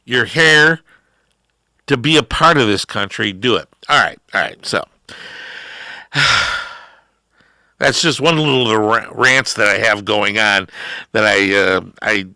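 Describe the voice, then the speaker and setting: A middle-aged man hosting a talk show, delivering a rambling opinion monologue with drawn-out words and emphatic rises. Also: on the low side, sharp tone, dry recording, clean studio sound. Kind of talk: ranting political talk.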